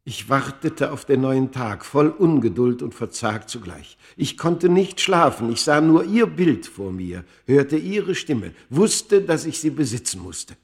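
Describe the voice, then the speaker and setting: Medium-pitched, literary storyteller in love. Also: male voice, sing-song, unhurried, hardly any pauses. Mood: longing. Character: male Egyptian scholar recounting his lost love story. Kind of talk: radio play first-person narration.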